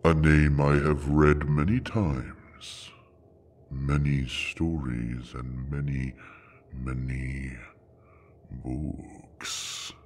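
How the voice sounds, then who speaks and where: A man's voice: very deep voice, little pitch movement, very slow, darkish tone, slight background noise, exaggerated voice. A man playing an ancient, imperious dragon in an audio drama, speaking in a grand, formal, menacing way. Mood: solemn, reflective. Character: ancient, imperious male dragon guarding a hoard of books.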